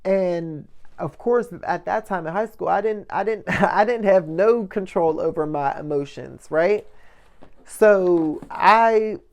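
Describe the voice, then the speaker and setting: A man with a light, soft voice giving a casual motivational monologue as a vlogger, often checking in with the listener. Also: high voice, slow. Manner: conversational, checks in often with "right?" and "you know".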